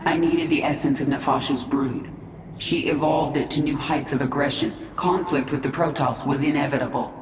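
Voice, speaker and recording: A woman's voice: sits low, steady tone, normal speed, noisy background, close to an everyday voice. A woman voicing a ruthless, cool alien queen in a video game, curt, controlled and commanding. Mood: resolute, instructive. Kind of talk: video game character acting. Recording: echoing, with music behind.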